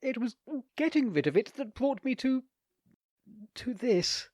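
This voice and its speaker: A man reading a story aloud, voicing a nervous, embarrassed young gentleman who speaks in a desperate, high-strung way. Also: sing-song, a little slow, dry recording, clean studio sound, exaggerated voice. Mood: flustered.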